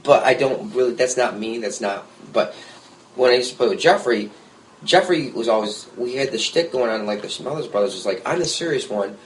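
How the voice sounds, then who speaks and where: A man, a guest musician, telling lively stories in a casual podcast conversation with jokey asides. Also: mid-range voice, fairly quick, slight background noise, poor audio. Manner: storytelling, animated, jokey asides.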